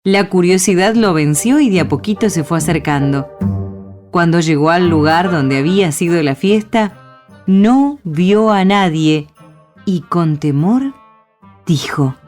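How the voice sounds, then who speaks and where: A woman's voice: on the low side, animated, a little slow, darkish tone, faint music behind. A woman with an Argentine accent narrating a story for a radio play.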